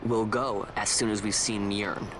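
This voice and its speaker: An actor playing a bold, stubborn young adventurer in a video game, speaking in a blunt, informal, defiant way. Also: male voice, lowish voice, level tone, unhurried, music in the background, natural voice, poor audio.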